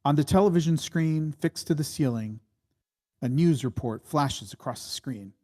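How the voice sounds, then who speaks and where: A man narrating a screenplay's stage directions at a table read, with deliberate, dramatic phrasing. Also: on the high side, a little mellow.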